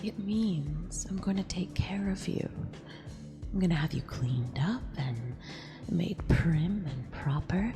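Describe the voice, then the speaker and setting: A woman playing a mean, possessive eldritch empress in a half-whispered ASMR roleplay, condescending yet affectionate, with a breathy, creaky delivery. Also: on the low side, expressive. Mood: condescending, pleased. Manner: slow, deliberate, condescending purr. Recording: long reverb with music underneath.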